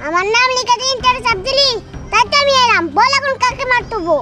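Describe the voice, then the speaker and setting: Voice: very high-pitched, animated, fast talker. An actor voicing a tiny, boastful child-sized gangster in a cartoon, always challenging others. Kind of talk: cartoon voice acting. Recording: loud music behind the voice.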